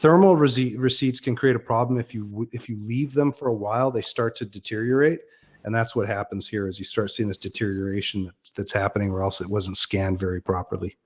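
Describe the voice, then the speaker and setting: A man's voice: fairly low pitch, steady tone, fairly quick. A man presenting an informal online demonstration, explaining conversationally and often restarting his sentences. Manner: conversational, explanatory, frequently self-correcting. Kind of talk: informal webinar presentation with question and answer. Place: online web conference. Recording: dull, lo-fi online webinar audio.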